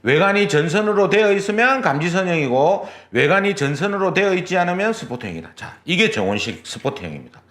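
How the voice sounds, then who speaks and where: Lively, echoey male lecturer. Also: high voice, quick pace, hardly any pauses. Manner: explains step by step, repeats key terms, checks with "그죠?". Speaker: exam prep instructor. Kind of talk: lecture, drawing on a board. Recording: echoey classroom.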